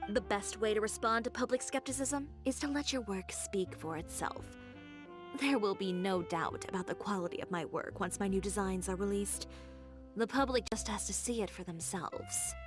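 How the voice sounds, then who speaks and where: An actress playing a sharp-tongued, proud young fashion designer in a video game, sardonic and crisply commanding. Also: female voice, mid-range voice, normal speed, close to an everyday voice. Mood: brisk, composed. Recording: music behind the voice.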